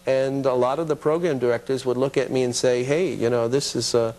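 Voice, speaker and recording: A man's voice: medium pitch, steady tone, fairly quick, darkish tone, poor audio. A middle-aged man, a television producer, casually reminiscing as an interview guest in a studio.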